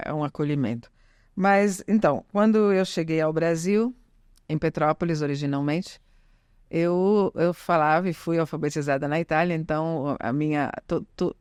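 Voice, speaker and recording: Italian-born woman, smooth dry voice. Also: deep voice, darkish tone, dry recording. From Italy. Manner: rambling, self-correcting, frequent "né?". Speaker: podcast guest. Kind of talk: casual conversational interview.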